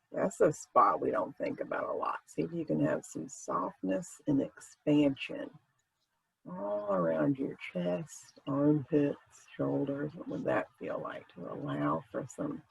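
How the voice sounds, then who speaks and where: A man leading a guided meditation in a gentle, informal, conversational way with unhurried phrasing. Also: fairly high pitch, gravelly, slightly rough, dull audio.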